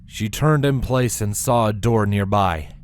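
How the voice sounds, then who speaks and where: A man narrating a scripted story with a dramatic, expressive delivery. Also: fairly low pitch, unhurried, a little hiss or hum.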